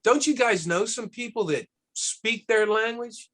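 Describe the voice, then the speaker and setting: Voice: mid-range voice, measured pace, a bit bright, lightly acted. An actor playing a ruthless, scheming boss in a screenplay table read, blunt and commanding. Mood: cold, demanding.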